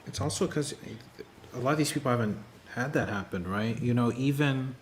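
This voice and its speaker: A man's voice: mid-range voice, brisk, slight background noise. A man in casual panel talk, thoughtful and conversational, often hedging with "you know". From Kenyan family background. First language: Swahili.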